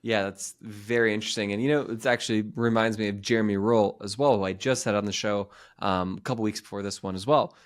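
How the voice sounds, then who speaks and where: A man in his thirties hosting a podcast, chatting casually in a quick, rambling way with many restarts. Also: lowish voice, fairly even intonation. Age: 30s. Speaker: podcast host. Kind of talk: casual interview chat.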